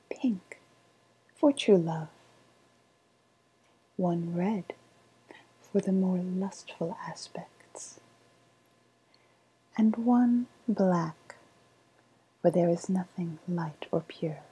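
Female performer as woodland witch for ASMR roleplay: very breathy, very slow, eerily sweet and foreboding. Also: on the low side, dull audio, heavily acted. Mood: hushed, ritual. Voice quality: breathy, half-whispered. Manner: slow, deliberate, hushed, ominous. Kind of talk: softly spoken ASMR roleplay.

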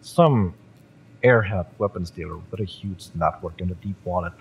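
A man's voice: low voice, normal speed, gravelly, slightly rough, exaggerated voice. A man playing a fiery, bitter young mercenary in an audio roleplay, sardonic and taunting, with sighs and swearing. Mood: taunting, impatient. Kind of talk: romance audio roleplay acting, addressed to the listener.

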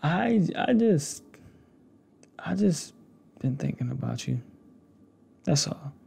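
A young man speaking softly and hesitantly close to the mic in a casual, intimate ASMR roleplay. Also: on the high side, very animated. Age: young adult. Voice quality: gravelly, breathy. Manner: hesitant, halting, soft-spoken. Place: indoors, small home setup with fabric backdrop. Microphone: desktop condenser mic with pop filter, close up.

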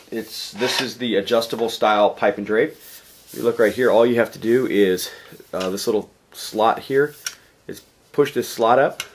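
A man in his forties, hoarse from a cold, giving a rambling, casual video update from his garage workshop. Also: on the low side. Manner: rambling, chatty, lots of fillers and restarts. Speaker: DJ vlogger. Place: home garage workshop, indoors.

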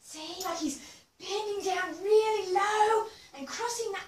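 High-pitched woman chanting playfully. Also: unhurried, noisy background. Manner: gentle, encouraging, chanting. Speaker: movement teacher leading a child. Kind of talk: playful sing-song kids' movement session. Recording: echoey room, distant mic.

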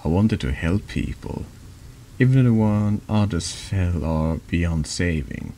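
A man with a very deep voice narrates a horror text adventure at an unhurried pace. His delivery is halting, reading out both narration and dialogue in an ASMR-like style, with some noise behind him. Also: lively intonation, warm tone. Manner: halting reading, stumbling over words. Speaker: streamer reading a text adventure game aloud. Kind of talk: slow narrated read-aloud of horror story dialogue, ASMR-like.

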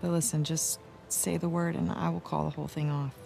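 A gravelly, assured American adventurer wife. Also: female voice, low voice, little pitch movement, a little fast, mellow. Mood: earnest, hopeful. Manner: teasing, assured, conversational. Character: confident, warm, adventurous middle-aged wife and journalist. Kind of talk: naturalistic video game cutscene acting. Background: music.

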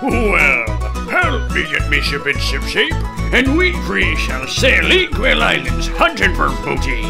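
Gravelly, blustering cartoon pirate captain. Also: male voice, sits high, wildly swooping pitch, measured pace, full character voice. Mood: hearty. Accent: stage pirate. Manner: blustering, theatrical pirate talk. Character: gruff, hot-tempered old pirate captain, male, in a cartoon. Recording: loud music under the voice.

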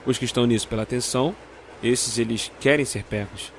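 An actor playing a composed, wry police detective in an audio drama. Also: male voice, mid-range voice, fairly quick, slight background noise, lightly acted. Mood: grave, explanatory. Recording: music laid under the voice.

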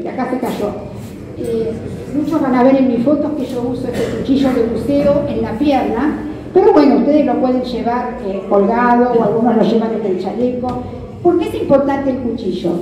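High-voiced Argentine woman in an echoey hall. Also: dull audio. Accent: Argentine Rioplatense. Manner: conversational, checking in with listeners using question tags. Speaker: kayak fishing safety instructor. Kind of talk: live instructional talk to an audience. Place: large hard-floored club hall with seated audience. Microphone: handheld microphone over PA, recorded by a distant camera. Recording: echoey hall PA, distant, crowd murmur. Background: audience murmur.

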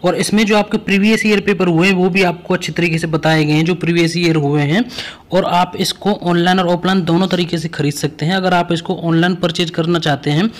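A man with a slightly high voice narrates a scripted promotion. He presents and recommends the product in an explanatory style aimed at students. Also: steady tone, fairly quick.

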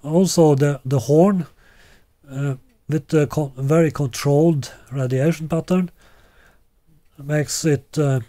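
A man in his sixties presenting a product in an unscripted way, halting and deliberate with long hesitations. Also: on the high side, fairly even intonation, warm tone, no echo. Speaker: loudspeaker designer presenting a product. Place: small living room, indoors.

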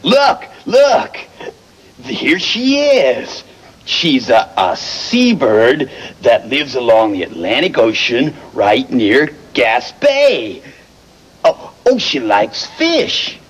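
A man's voice: medium pitch, big pitch swings, drawn-out words, slightly rough, exaggerated voice. A man voicing a shy, good-natured male lizard who stammers and hesitates. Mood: excited.

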